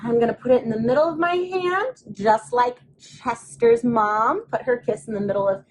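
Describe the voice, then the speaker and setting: A woman's voice: fairly high pitch, big pitch swings, moderate pace, slight background noise, poor audio. A woman teacher leading young children step by step through a craft, explaining simply and patiently with repeated phrases and little questions. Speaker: elementary school craft teacher.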